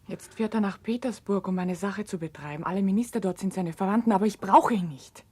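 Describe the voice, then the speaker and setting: Woman playing downcast young convict, husky voice, curt, defiant, bitter sullenness, vintage radio drama. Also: mid-range voice, a little fast, a little mellow, close to an everyday voice. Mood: sullen, defiant. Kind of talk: 1960s German radio drama acting. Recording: 1960s radio drama recording.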